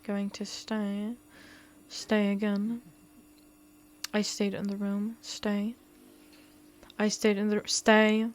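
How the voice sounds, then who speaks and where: A woman's voice: mid-range voice, monotone, slow, pauses often. A woman reading a visual novel aloud as a game streamer, stumbling over words and breaking off into blunt, playful commentary. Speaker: game streamer doing a walkthrough.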